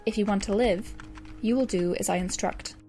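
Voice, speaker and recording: A wry, articulate teenage girl voiced by a woman in an audio drama monologue. She speaks in an ordinary female register, dry and wordy. Soft music sits underneath. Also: medium pitch, moderate pace, lightly acted. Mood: dry, grave. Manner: verbose, dry, sardonic. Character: wry, erudite teenage girl writing a doomsday game walkthrough.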